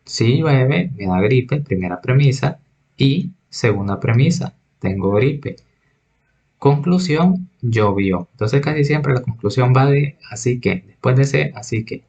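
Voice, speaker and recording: A man's voice: mid-range voice, expressive, a little slow, gravelly, darkish tone, muffled recording. A man teaching a recorded logic lesson, patiently reading examples and explaining them step by step. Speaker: math teacher.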